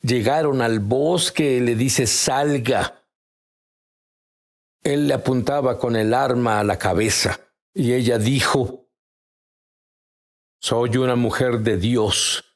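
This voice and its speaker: Older male preacher, measured unhurried delivery, emphatic storyteller. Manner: slow, emphatic storytelling with sudden high exclamations. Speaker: television host and preacher. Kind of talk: preaching testimony.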